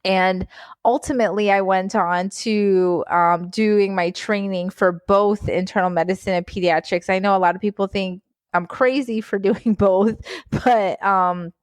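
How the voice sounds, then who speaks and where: A woman from Guyana, a physician guest, telling her story in a casual interview, animated and laughing often. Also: medium pitch, a little slow, no echo. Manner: animated, laughs often, repeats words for emphasis.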